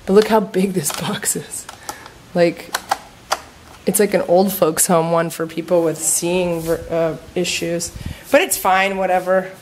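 A woman's voice: on the low side, fairly even intonation, slow pace, background noise. A woman in her thirties chatting casually as she walks through her house, filming on a handheld phone and using lots of fillers. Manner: chatty, upbeat, lots of fillers like "like" and "you know". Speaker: vlogger giving a home tour. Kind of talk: casual house tour walkthrough. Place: house with open patio in a warm climate. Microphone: handheld phone camera, often at arm's length.

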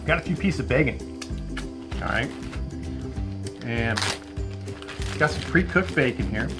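A man's voice: medium pitch, measured pace. Man in his fifties, laid-back camp-cooking host, patio camera sound, music underneath. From Florida. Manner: relaxed, chatty, lots of "all right" fillers. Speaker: outdoor cooking channel host. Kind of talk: casual cooking demo. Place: outdoor covered patio at a table.

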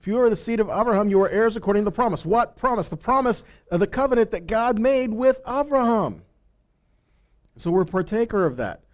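Male Bible teacher, middle-aged, slightly high register, question-driven sermon delivery on a headset mic. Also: lively intonation, fairly quick. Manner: rhetorical questions with frequent check-ins like "okay?" and "right?". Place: indoor stage in a meeting hall.

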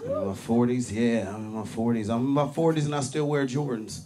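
A man in his forties from Berkeley, California, doing a profane, animated stand-up set on a club stage with a handheld mic. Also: mid-range voice, brisk. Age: 40s. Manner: loud, animated, profane punchlines with shouted peaks. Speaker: stand-up comedian. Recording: live club with crowd laughter. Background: audience laughter.

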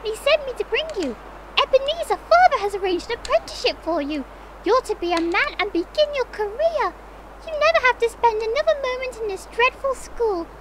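Very high-pitched excited little girl. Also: brisk. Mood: joyful, eager. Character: excited, affectionate little sister, a young girl. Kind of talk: audio play acting. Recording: very noisy audio play mix.

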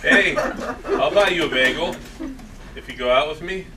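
A man's voice: on the high side, measured pace, close to an everyday voice. A man playing an awkward, lovelorn young barista who stammers hopefully through a sitcom table read. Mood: eager. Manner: hesitant, stammering. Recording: distant camera mic, faint noise.

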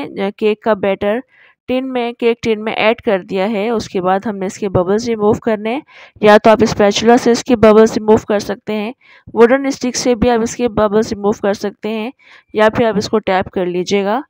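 A woman's voice: medium pitch, fairly even intonation, moderate pace, darkish tone, dry recording, rough recording. A woman narrating a recipe tutorial as a voice-over, explaining each step in an instructive way. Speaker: cooking channel host.

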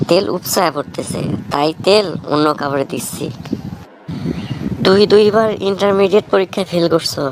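A woman's voice: deep voice, gravelly, background noise. A woman voicing a bossy young village big brother and tutor in a cartoon, lecturing sternly with a Bangladeshi accent. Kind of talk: amateur cartoon dialogue.

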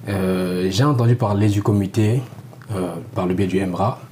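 A young man with a low, dark voice and an even, level delivery speaks into a lapel mic in a small TV studio. He answers in a measured way, often restating his points, and a faint ambience sits behind him. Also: a little hiss or hum. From Republic of the Congo. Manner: measured, repeats and rephrases. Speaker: graduate student, committee volunteer. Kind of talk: studio TV interview.

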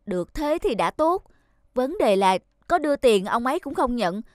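An actor voicing a bossy, wary, teasing young photographer in a Vietnamese cartoon, chattering away. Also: male voice, very high-pitched, very animated, moderate pace, no room sound, clean studio sound. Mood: explaining. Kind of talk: cartoon voice acting. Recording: music under the voice.

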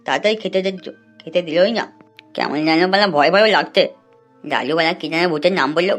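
A woman's voice: lowish voice, lightly acted. A woman voicing a cheeky, whiny young boy in a comic cartoon, grumbling and easily frightened. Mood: grumbling, uneasy. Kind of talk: comic cartoon dubbing in colloquial Bengali.

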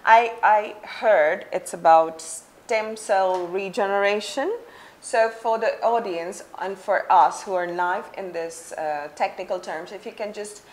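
A woman in her thirties hosting a studio talk show, asking measured questions with frequent restarts on a lapel mic. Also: mid-range voice. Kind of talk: studio interview. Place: TV studio set.

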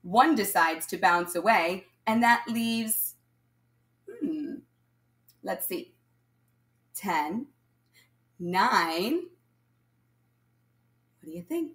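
A woman reading aloud and counting as a primary teacher, addressing young children in an instructional way and pausing for answers. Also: mid-range voice, expressive, fairly bright tone. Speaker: primary school math teacher. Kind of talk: children's read-aloud lesson, counting along.

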